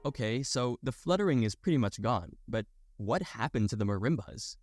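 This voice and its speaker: Guy doing a scripted explainer voiceover, mid pitch, step by step, music underneath. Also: lively intonation, normal speed. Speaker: video tutorial narrator.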